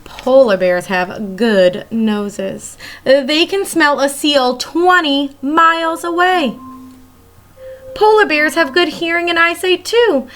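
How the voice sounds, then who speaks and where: High-voiced woman teacher reading aloud. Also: slow, a bit bright, soft background music. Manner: addresses young children directly, explains simply. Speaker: elementary school teacher. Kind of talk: read-aloud of a children's nonfiction book.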